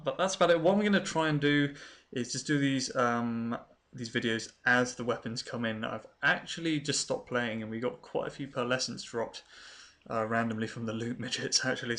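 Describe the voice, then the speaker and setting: A man's voice: medium pitch, steady tone, brisk, a bit bright. A British man giving casual, unscripted game showcase commentary in an informal, hesitant and understated way. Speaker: gaming video creator and host.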